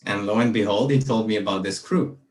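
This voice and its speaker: Earnest young man, deep, roomy and distant. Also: fairly quick. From Malaysia and Brunei. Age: young adult. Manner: earnest, reflective, steady. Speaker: podcast guest. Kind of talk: casual interview.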